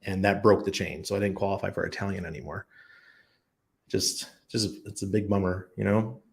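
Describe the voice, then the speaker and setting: Gravelly low-voiced man hosting a chat stream. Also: fairly even intonation, moderate pace, slight background noise. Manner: rambling, thinks aloud with many ums and restarts. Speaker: livestream host answering chat. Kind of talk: casual chat. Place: expat living abroad.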